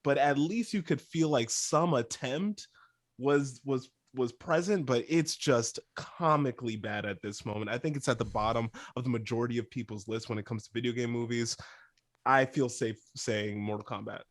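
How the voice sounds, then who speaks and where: A man's voice: mid-range voice, normal speed, no echo, studio quality. A man on a podcast panel, giving emphatic opinions in a casual, chatty way.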